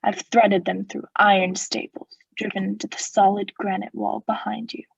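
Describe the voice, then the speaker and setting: A woman's voice: mid-range voice, level tone, normal speed, vocal fry, slightly rough, no echo, lo-fi sound. A woman acting a cold, vengeful murderer in a radio play, speaking with formal, sardonic politeness as he mocks his victim. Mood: mocking, menacing.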